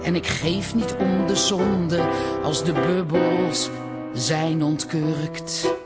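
A woman's voice: lowish voice, steady tone, extremely slow, loud music behind the voice. An actress playing a wistful, defiant woman, singing in musical theatre style. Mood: wistful, playful.

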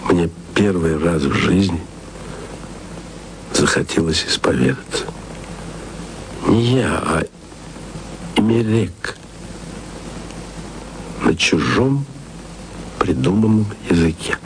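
A man's voice: deep voice, animated, takes it slowly, long pauses, a little hiss or hum, poor audio. A man narrating a radio play as tender, musing letters spoken to a loved one. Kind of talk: intimate radio-play monologue.

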